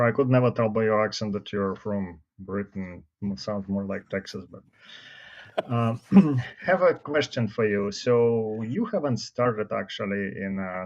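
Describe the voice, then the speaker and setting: A man hosting an interview, introducing his guest formally and asking direct questions. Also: fairly low pitch. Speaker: host. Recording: dull lo-fi sound.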